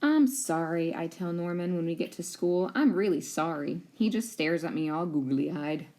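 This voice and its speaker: Woman, expressive read-aloud of a picture book for young children, teacher delivery. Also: lowish voice, moderate pace. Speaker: teacher reading a storybook aloud.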